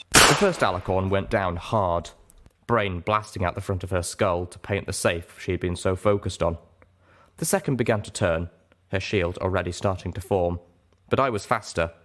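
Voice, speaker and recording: A man reading fiction aloud as a dramatic first-person narrator, measured and expressive. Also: sits low. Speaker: audiobook narrator.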